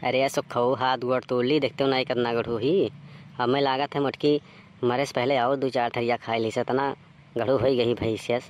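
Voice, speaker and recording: A man's voice: medium pitch, little pitch movement, moderate pace, dull audio, close to an everyday voice. Man as a put-upon Awadhi village husband, noisy recording. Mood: grumbling. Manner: exclamatory, theatrical rustic talk. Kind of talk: cartoon comedy dialogue.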